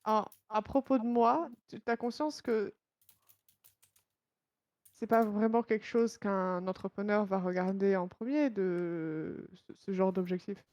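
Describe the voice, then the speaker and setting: A woman's voice: mid-range voice, unhurried, darkish tone, no echo, lo-fi sound. A woman with a Southern French accent chatting and teasing friends in a voice chat, often stammering on repeated words. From southern France. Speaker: gamer in group voice chat. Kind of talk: casual chat.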